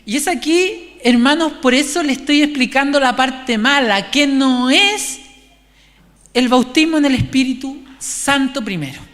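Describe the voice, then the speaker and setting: A man with a Chilean accent giving an informal, conversational sermon, haltingly repeating and restarting his phrases. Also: extremely high pitch, fairly bright tone. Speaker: preacher. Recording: echoey hall, long reverb.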